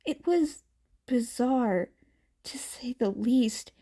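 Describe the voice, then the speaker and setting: A woman reading fanfiction aloud as a narrator in a soft, unhurried way. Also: on the high side, lively intonation. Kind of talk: amateur audiobook narration.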